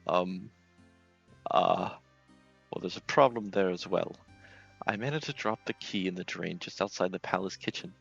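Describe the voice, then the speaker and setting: A man's voice: low voice, moderate pace, creaky, pauses often, a bit bright, husky, lo-fi sound, soft background music, pitched below the performer's own voice, full character voice. A man voicing an aging, bumbling, sceptical knight with a blustering, hesitant manner. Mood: sheepish.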